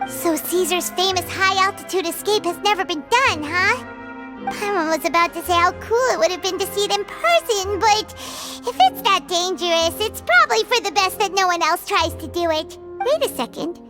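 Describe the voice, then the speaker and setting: Super high bouncy little fairy sidekick, curious and excitable, music playing behind. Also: female voice. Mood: excited. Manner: talks about herself in third person, quick exclamations. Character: tiny chirpy floating companion girl, curious and excitable. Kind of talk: video game cutscene dialogue. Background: background game music.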